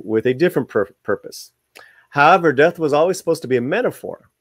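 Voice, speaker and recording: Casting: male video host, mid-pitched, reads articles aloud and comments casually, tripping on words, dry recording. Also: dry recording. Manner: stumbles over words while reading; informal asides to viewers.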